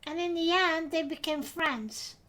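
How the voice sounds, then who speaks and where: A woman's voice: very high-pitched, unhurried, bright, forceful tone. A woman with a Dutch accent, a native Dutch speaker, hosting a casual podcast and talking hesitantly with many filler sounds. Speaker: podcast host.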